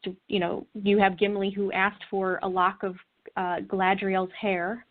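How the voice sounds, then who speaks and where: A woman's voice: medium pitch, level tone, unhurried. Earnest woman guest on a phone-like line. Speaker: interview guest. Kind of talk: conversational explanation. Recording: narrow phone-like call line.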